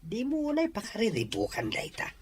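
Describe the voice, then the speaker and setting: A man's voice: sits high, huge pitch swings, brisk, husky, heavily acted. An actor playing a caring, devout older father, soothing and concerned, in an Ilocano radio drama.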